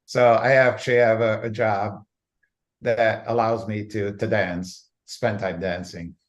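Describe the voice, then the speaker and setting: A middle-aged man from Holland and Italy, a guest in a live online interview over a video call, relaxed and hesitant with many uhs. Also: lowish voice, unhurried. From born in Holland, grew up in Italy.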